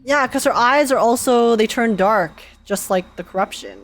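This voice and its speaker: High-voiced young woman reacting to a game. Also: moderate pace. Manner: slangy, expressive, emotionally invested. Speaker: game streamer. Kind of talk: live reaction commentary, casual chat. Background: game music.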